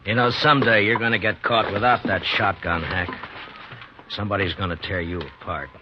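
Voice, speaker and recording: An American man playing a stoic, weary marshal in a 1950s radio western, grim and deliberate, on a dull old recording. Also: low-pitched, steady tone, noisy background, close to an everyday voice. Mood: challenging. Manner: grim, deliberate, hard-boiled. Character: stoic, weary male frontier marshal narrating his ordeal. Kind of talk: 1950s radio drama narration and acting.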